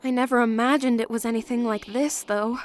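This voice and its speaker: A woman's voice: a little high, steady tone, fairly quick, close to an everyday voice. A woman voicing an earnest, emotional teenage schoolgirl in an anime-style game dub.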